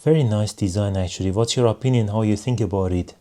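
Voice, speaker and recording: A man giving a casual hands-on product review in measured, non-native English phrasing. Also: fairly low pitch, dark tone, a little hiss or hum. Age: adult.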